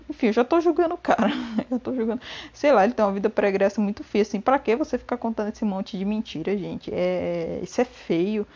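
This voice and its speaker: A chatty Brazilian woman with a Brazilian Portuguese accent, talking about a book in a loose, gossipy way. She rambles, restarts her sentences and laughs often. Her voice sits at a middle pitch, and the recording sounds a little dull and lo-fi. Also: darkish tone. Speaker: book vlogger. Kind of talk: casual chat. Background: rain and thunder.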